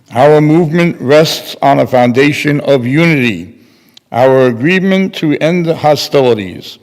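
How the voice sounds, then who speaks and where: Slow-paced older man in echoey hall. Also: medium pitch, lively intonation, darkish tone. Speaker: reader in readers theater. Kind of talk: scripted staged reading. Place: large temple hall, at lectern. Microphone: microphone on stand.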